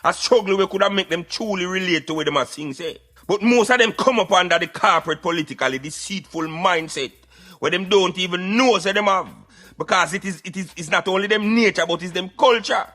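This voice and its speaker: A man's voice: sits high, brisk, pushed and bright. A Jamaican man hosting a talk radio show, giving emphatic, preaching commentary in Patois.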